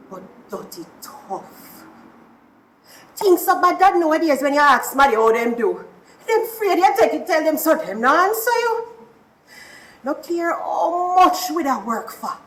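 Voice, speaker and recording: A woman's voice: sits high, sing-song, a little slow, a bit bright, poor audio. A woman with a Jamaican accent performing a rhythmic, dramatic recitation of a dialect poem.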